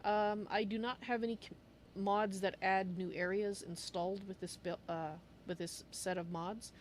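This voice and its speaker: A woman's voice: medium pitch, steady tone, measured pace, slight background noise. A woman livestreaming a roleplay game, chatting casually to her viewers. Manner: speaks of her character in the third person.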